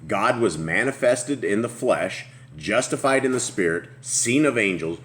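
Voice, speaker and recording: A man with a booming voice hosting a casual group Bible study, rambling and restarting as he reads aloud. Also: expressive, a little slow, a bit bright. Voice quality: deep booming voice. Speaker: host.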